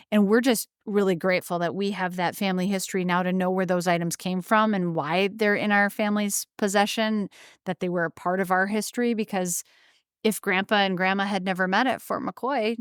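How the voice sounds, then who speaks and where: Smooth-voiced chatty woman from Wisconsin. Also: lowish voice, no echo, clean studio sound. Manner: animated, chatty storyteller with fillers. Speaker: podcast co-host, antique shop owner. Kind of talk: casual storytelling chat.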